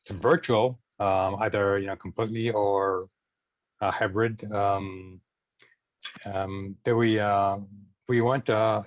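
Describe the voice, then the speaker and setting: A hesitant man runs an informal video meeting in a fairly low voice. He gropes for words between questions, and the sound is a bit muffled and dry. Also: fairly even intonation, takes it slowly, no room sound. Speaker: meeting chair. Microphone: video call. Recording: dull, dry video-call audio.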